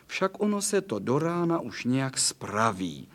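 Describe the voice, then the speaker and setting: A man voicing a kind little angel who speaks gently and reassuringly. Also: mid-range voice, big pitch swings. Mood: soothing.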